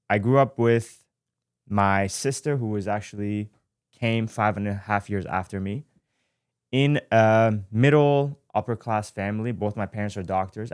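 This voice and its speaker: Low, smooth-voiced man in his twenties. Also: a little mellow, no room sound, clean studio sound. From Iran. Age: 20s. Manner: reflective, with frequent fillers and self-corrections. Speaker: podcast host. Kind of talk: casual personal storytelling. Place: studio.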